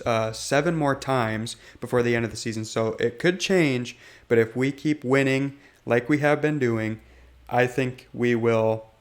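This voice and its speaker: Raspy, rambling young man. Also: medium pitch. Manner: rambling, hesitant, lots of ums. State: hoarse from illness. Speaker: gaming channel host. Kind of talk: casual gameplay commentary.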